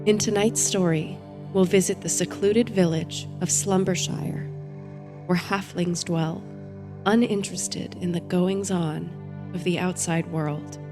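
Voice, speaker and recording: A woman host narrating a bedtime story and guided meditation in a soothing, gentle, measured way. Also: lowish voice.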